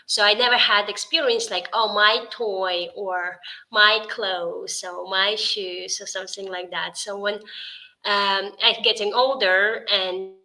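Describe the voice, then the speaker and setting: A woman speaks as a guest in a relaxed interview. Her voice is bright and forward, at an ordinary middle pitch. She talks in loose, halting English, stopping often to fill gaps with "like" and "you know.". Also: low-quality recording. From Russia. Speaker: podcast guest. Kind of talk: casual interview conversation.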